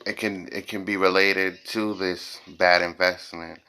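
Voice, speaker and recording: A man's voice: low-pitched, level tone, measured pace, noisy background. A man giving an informal tarot reading to viewers, speaking bluntly and repeating phrases for emphasis. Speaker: tarot reader, host.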